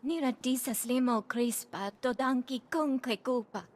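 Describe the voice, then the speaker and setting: A woman's voice: sits high, measured pace. A woman playing a self-interested alien agent in a video game, with a businesslike manner. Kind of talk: scripted video game dialogue.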